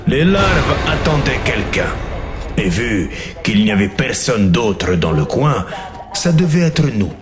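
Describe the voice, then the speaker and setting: An actor playing a jaded soldier recounting a war mission in a sardonic, understated way for a video game. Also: male voice, mid-range voice, very animated, slow pace, music in the background. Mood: grim recounting. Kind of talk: dramatic game narration and dialogue.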